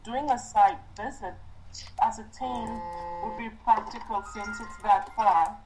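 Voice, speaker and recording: Woman student, slightly high voice, reporting informally on a group project over a conference call. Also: fairly even intonation, a little slow, a little mellow, soft background music, poor audio.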